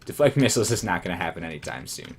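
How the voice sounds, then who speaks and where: A man casually commenting on his own gameplay, rambling and self-amused, his voice going hoarse. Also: low-pitched, sing-song, very fast, noisy background. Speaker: let's play commentator.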